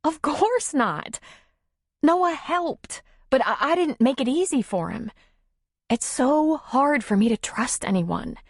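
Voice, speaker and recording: Narratrice che interpreta una giovane madre in lacrime, voce acutissima. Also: female voice, high-pitched, expressive, no room sound, studio quality, pitched above the performer's own voice, full character voice. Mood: insistent, emotional. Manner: emotional, halting, confiding. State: tearful, sniffling. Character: tearful timid young single mother, grateful and vulnerable.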